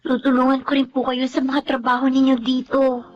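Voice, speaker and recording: An actress playing a caring, respectful young student daughter in a Filipino radio drama, polite and earnest. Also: female voice, sits high, completely flat delivery, a little fast, highs cut off, close to an everyday voice. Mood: reassuring.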